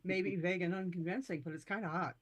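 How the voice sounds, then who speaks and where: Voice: mid-range voice, fairly even intonation, quick pace, lightly acted. A player voicing a breezy, playful female monster hunter in a tabletop game. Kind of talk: tabletop roleplay in character.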